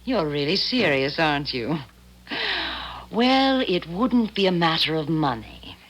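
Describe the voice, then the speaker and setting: Sly low-voiced femme fatale. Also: female voice, big pitch swings, heavily acted, low-quality recording. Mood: teasing, menacing. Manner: teasing, smooth, deliberate, condescending. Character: sly, poised femme fatale needling a jealous wife. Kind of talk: 1970s radio drama acting.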